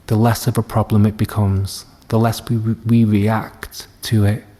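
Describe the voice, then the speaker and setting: A man leading a guided meditation as a teacher, speaking gently and soothingly with long unhurried pauses. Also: lowish voice, level tone. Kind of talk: guided body scan meditation.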